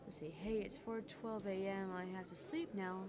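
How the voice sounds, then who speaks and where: A woman co-hosts a gaming livestream in a casual, conversational way. Her voice sits at a medium pitch. Other voices can be clearly heard in the background. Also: fairly even intonation, normal speed, a crowd in the background. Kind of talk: casual livestream chat, reading game text aloud.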